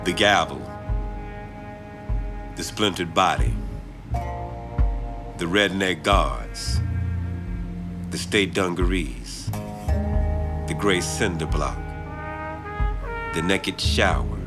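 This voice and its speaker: A deep-voiced man recites poetry extremely slowly, leaving space after each short phrase. Brass and guitar music plays clearly behind him. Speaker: poet. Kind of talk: slow spoken-word poetry reading. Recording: prominent music under the voice.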